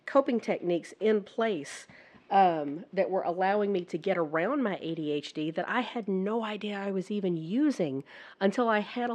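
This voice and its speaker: Husky-voiced woman hosting a podcast. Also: medium pitch, darkish tone. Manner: chatty, halting, many restarts. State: husky, recovering from flu. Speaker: podcast host. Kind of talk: casual podcast interview.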